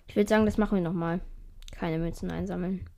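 Hoarse young woman with a darker voice. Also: steady tone, fast, warm tone. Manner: voice keeps rising high at phrase ends; chatty, swears casually when she fails. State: hoarse, voice cracking. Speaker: mobile game youtuber. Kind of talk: casual gameplay commentary.

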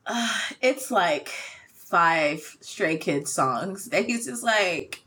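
Slow, lively-pitched giggly woman. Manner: giggly, animated storytelling. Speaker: podcast co-host. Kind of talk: casual chat.

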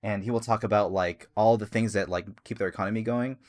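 A man in his thirties with a somewhat low voice, chatting on a casual podcast. He rambles eagerly, restarting his sentences and filling pauses with "um" and "like.". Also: steady tone, a little mellow. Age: 30s. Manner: rambling, enthusiastic, many fillers and restarts. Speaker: podcast co-host.

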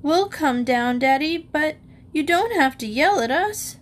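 Woman voicing a fretful, high-pitched young schoolboy. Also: expressive, slow. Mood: alarmed, complaining. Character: fretful young schoolboy complaining about loud noises. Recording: clearly noisy recording.

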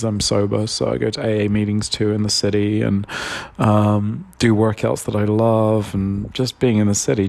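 A man, stuffed up with a cold, talking openly and hesitantly in a solo podcast monologue, full of pauses and fillers. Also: lowish voice, vocal fry, a little mellow. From London, England. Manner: open, confessional, hesitant with many fillers. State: congested with a cold. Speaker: podcast host.

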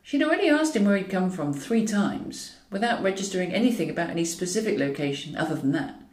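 Female audiobook reader for comic fiction: dry irony, animated pitch movement, recorded roomy and slightly distant. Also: fast. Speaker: author reading own novel. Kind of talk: scripted narration of a comic novel. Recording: roomy echo, distant from mic.

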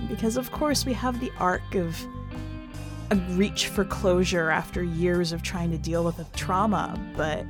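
A woman podcast host who reads fiction aloud as a narrator and comments on it. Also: medium pitch. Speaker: podcast host and editor reading a short story.

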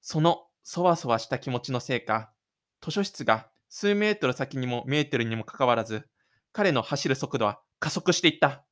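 A man's voice: mid-range voice, lively intonation, moderate pace, a bit bright. A man reading scripted third-person narration for an audio drama.

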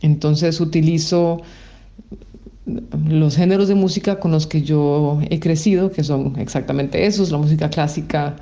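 A woman's voice: low voice, steady tone, unhurried, mellow. Thoughtful woman musician from Costa Rica, muffled audio. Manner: thoughtful, with frequent hesitation sounds. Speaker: musician guest. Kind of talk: casual interview answers.

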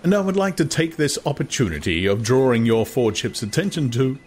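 A man's voice: medium pitch, very animated, a little fast, darkish tone, voice pushed up, close to an everyday voice. A man voicing a self-important, carping young man who lectures pompously, in an audiobook. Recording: clear background noise.

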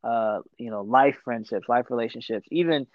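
A man in his twenties, born in Ethiopia, chatting casually as a podcast guest, slangy and quick to laugh. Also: mid-range voice, no room sound, highs cut off. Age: 20s. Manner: relaxed, slangy, laughs often. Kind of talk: casual chat.

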